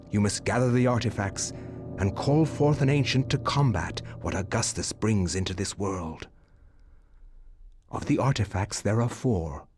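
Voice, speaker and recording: Game narration: low, weary scholarly old man, solemn and resigned, some noise behind. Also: darkish tone. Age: older. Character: weary, grave old grandfather, scholarly and doomed. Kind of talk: video game letter narration.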